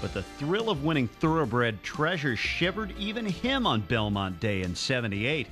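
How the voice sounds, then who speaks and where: A man's voice: medium pitch, sing-song, normal speed, a bit bright, soft background music. A man anchoring television sports news, reading scripted copy in a florid, alliterative broadcast style.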